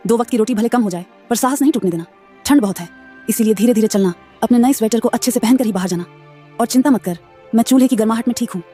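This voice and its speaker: A woman voicing a poor, caring elderly mother in an animated story, soothing and motherly. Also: fairly high pitch, fast talker, slightly rough, faint music behind. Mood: tender. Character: poor caring old mother, gentle and steady.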